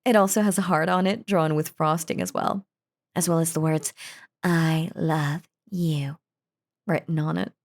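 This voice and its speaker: A woman's voice: low voice, fairly even intonation, unhurried. A woman playing a possessive, lovestruck yandere wife in an intimate audio roleplay, talking in a doting, gushing, cheerfully unhinged way. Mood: affectionate, spoiling. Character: lovestruck possessive yandere wife, sweetly menacing crazy stalker. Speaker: voice actor.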